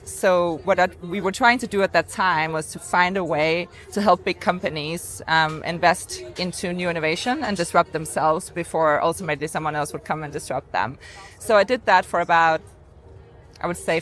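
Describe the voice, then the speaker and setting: A woman from Denmark with a Danish accent, telling her story reflectively as an interview guest. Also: on the low side. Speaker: podcast guest, entrepreneur.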